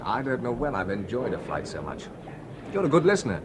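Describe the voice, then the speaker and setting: A man's voice: mid-range voice, sing-song, a little fast, a crowd in the background, lightly acted. An actor playing an earnest, polite man searching for his missing sister in a radio play. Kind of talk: 1970s radio drama acting.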